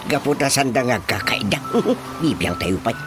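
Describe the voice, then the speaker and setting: A man's voice: medium pitch, expressive, normal speed, natural voice. An actor playing a talkative older village man with an Ilocano accent, chuckling as he muses on death and faith in a radio drama. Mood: joking. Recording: music under the voice.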